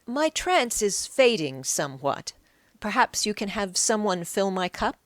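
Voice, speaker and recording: Woman doing a shrewd egyptian slave detective guy playing fortune teller, measured n probing, audiobook style, pretty expressive. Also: mid-range voice, no echo, voice pushed up. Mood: sly, measured. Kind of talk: audiobook character acting.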